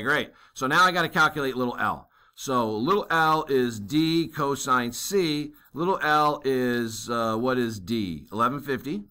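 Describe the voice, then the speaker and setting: Man, instructor, bright voice, step-by-step problem solving, thinks aloud, frequent fillers. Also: mid-range voice. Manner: thinks aloud, many fillers and "okay?" checks. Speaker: navigation instructor. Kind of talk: worked-problem math lesson.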